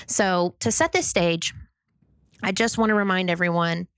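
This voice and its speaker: A young woman with a high-pitched voice, co-hosting a podcast and talking through sales tips in an upbeat, candid, self-deprecating way. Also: moderate pace, smooth, dry recording, studio quality. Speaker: podcast co-host. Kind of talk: solo podcast advice talk.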